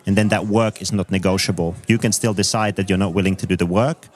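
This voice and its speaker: Finnish-accented man, low steady voice. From Finland. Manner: thoughtful, measured explanations with frequent fillers. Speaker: guest coach. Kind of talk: conversational interview.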